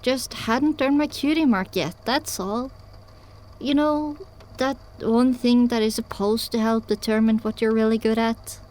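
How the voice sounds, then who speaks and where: A woman's voice: on the high side, steady tone, measured pace, darkish tone. A woman reading scripted first-person story narration as the narrator of an audio drama.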